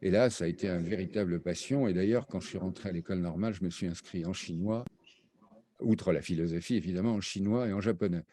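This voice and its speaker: An older man, raised between Brazil and France, recalling his path as an interview guest in a halting way, with many fillers and restarts. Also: deep voice, warm tone. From raised half in Brazil, half in France. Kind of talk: hesitant spoken recollection.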